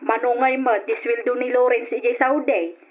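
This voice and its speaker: High-voiced, greedy older Ilocano mother acted by a woman. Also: little pitch movement, moderate pace, a little hiss or hum, natural voice. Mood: prying, gleeful. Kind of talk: old-style Ilocano radio drama acting. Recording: dull lo-fi radio sound.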